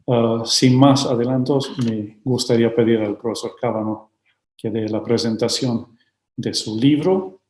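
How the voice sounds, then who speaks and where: A man speaking slowly and haltingly as he hosts a book presentation, often pausing on filler sounds between his formal introductions. His voice sits in a mid register and carries a roomy echo, heard over a video call. The delivery stays measured and courteous throughout. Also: mid-range voice, fairly even intonation, a little mellow. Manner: hesitant, frequent filler sounds. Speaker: host of a book launch.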